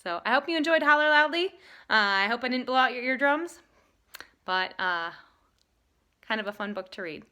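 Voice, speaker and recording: A woman reading aloud to children in an expressive style, leaning dramatically on key words. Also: on the high side, moderate pace, long pauses. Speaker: storybook reader.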